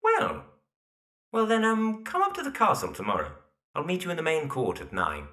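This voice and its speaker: Male actor as earnest young architect, period drama, formal, halting, very animated intonation. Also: on the high side, heavily acted. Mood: businesslike. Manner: hesitant, formal, halting when flustered. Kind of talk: period audio drama acting.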